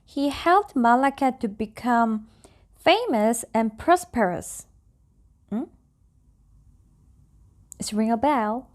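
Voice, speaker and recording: A woman from South Korea, a native Korean speaker, reading stories aloud and chatting in simple, careful non-native English. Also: fairly high pitch. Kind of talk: soft storybook reading and casual chat.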